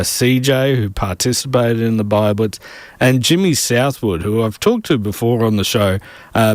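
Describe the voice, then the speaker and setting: A man hosting a community radio show, speaking haltingly with many fillers. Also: medium pitch, no echo. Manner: halting, filler-heavy delivery. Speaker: radio host, interviewer. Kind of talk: casual community radio presenting.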